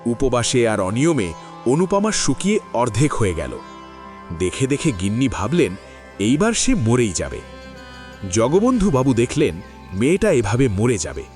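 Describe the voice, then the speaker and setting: Male narrator, expressive story reader, lively intonation. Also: mid-range voice, normal speed, pauses often, soft background music. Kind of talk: scripted literary narration.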